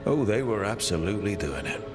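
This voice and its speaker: A man's voice: fairly low pitch, sing-song, fairly quick. A man voicing a pragmatic adventuring leader in a fantasy video game, direct and conversational. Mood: level-headed. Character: pragmatic, level-headed male adventuring party leader. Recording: music behind.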